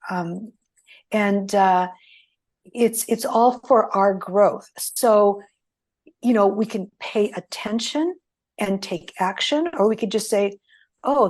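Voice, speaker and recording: Slow, reflective woman guest, Greek native. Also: medium pitch, a little mellow. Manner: reflective, halting storytelling. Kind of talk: interview conversation.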